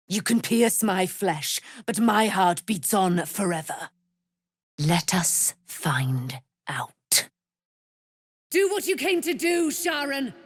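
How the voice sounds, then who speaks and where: A woman's voice: fairly low pitch, no echo, clean studio sound, exaggerated voice. An actress playing a proud, immortal holy warrior woman in a fantasy game, speaking in grand, solemn, formal phrases. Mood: measured, commanding, then scornful. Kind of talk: theatrical fantasy game voice acting.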